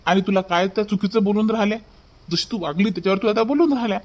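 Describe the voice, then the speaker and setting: A man plays an upset young husband in a cartoon family drama. His voice sits high for a man. He scolds sternly. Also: racing, natural voice.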